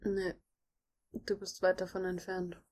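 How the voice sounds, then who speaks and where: A woman's voice: fairly low pitch, monotone, a little slow, darkish tone. A woman co-hosting a podcast, playing a guessing game in casual chat, teasing and laughing easily. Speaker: podcast co-host.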